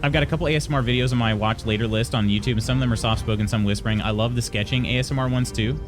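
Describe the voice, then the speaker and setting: A young man chats casually on a live stream, answering his viewers one message at a time. He often trips over his words and restarts his sentences. His pitch sits right in the ordinary middle for a man, and soft music plays faintly behind him. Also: fairly even intonation. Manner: chatty, halting, many restarts. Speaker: streamer reading chat.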